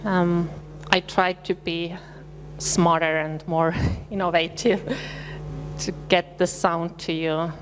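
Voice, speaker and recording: A woman from Estonia with an Estonian accent, giving a conference presentation in a measured, slightly hesitant way. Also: on the low side, level tone. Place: conference hall. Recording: reverberant hall with faint hum.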